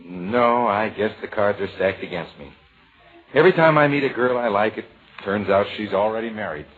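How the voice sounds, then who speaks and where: A man's voice: on the low side, lively intonation, moderate pace, lightly acted. A man playing a friendly, earnest bachelor who is fond of babies in an old radio comedy, easygoing and boyishly cheerful. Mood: sincere. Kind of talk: 1940s radio comedy acting. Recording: dull, noisy, crackly old radio recording.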